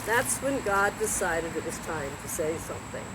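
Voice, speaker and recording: A woman hosting a Bible story time, reading a children's storybook aloud in simple, deliberate phrases with expressive emphasis. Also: on the low side. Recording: very noisy recording with steady background noise.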